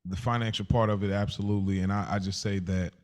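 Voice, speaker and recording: A man's voice: sits low, flat delivery, quick pace. An American man co-hosting a podcast, chatting casually and reflectively, slangy and affirming as he circles around his point. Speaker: podcast co-host.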